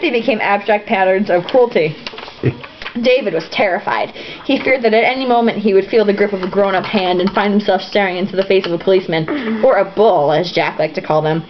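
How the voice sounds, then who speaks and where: A woman with a fairly high, very lively voice reads a story casually and irreverently, dropping in sarcastic, sweary commentary. The recording is a muffled, lo-fi home one with faint noise. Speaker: host reading a novel aloud with joking asides. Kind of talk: informal comic read-aloud with casual, profane asides.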